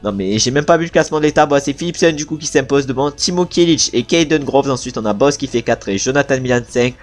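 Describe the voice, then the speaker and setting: A man's voice: mid-range voice, animated, fast talker. A French man giving casual, chatty commentary while playing a cycling video game, with frustrated asides about his team leader. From France.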